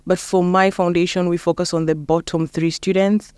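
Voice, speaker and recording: A woman's voice: fairly low pitch, level tone, normal speed, darkish tone, smooth, no room sound. A young woman with a Kenyan accent, chatting casually as an interview guest. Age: young adult. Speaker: interview guest, lawyer and mentor.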